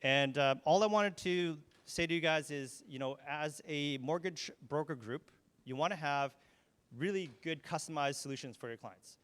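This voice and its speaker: Man, smooth and resonant, cheesy-joke sponsor pitch in a reverberant event hall. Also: normal speed, fairly bright tone. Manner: jokey, laughing, filler-heavy. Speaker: mortgage broker, sponsor.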